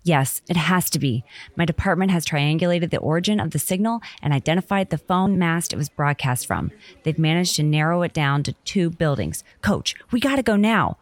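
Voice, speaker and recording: A woman acts an earnest, take-charge operations boss in a comic audio drama. Her voice is quite low and urgent, recorded very dry. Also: animated, a little fast, dry recording. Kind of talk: amateur comedy audio drama acting.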